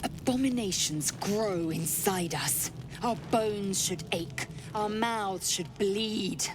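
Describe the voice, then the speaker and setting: A woman's voice: medium pitch, slow, noisy background, natural voice. A woman playing a grim, severe, brooding warrior in a fantasy game, solemn in tone. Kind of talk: fantasy video game acting.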